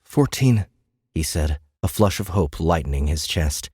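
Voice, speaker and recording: A man reading scripted dramatic audiobook narration in a measured, suspenseful manner. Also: very deep voice, darkish tone, husky, no room sound, clean studio sound.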